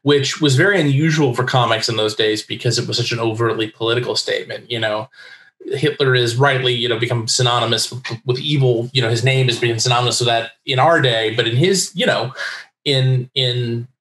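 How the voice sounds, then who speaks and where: A man's voice: medium pitch, fairly even intonation, moderate pace, fairly bright tone. A middle-aged man with an American accent, explaining things chattily in a casual interview. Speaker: interview guest, comics writer. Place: book-lined room at home on video call. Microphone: desk microphone on video call. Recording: roomy, echoey home recording.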